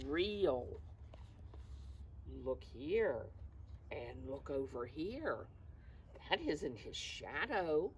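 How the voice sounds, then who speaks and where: A woman's voice: low-pitched, a little hiss or hum. A woman reading a picture book aloud to young children, explaining it in simple phrases and asking the listeners questions. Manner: slow, simple phrasing that addresses small children.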